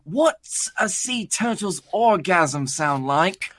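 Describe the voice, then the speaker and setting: A man's voice: high voice, animated, slow, a bit bright. A young man co-hosting a comedy podcast, talking hesitantly with frequent ums and uhs. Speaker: podcast co-host. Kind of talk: casual comedy podcast banter.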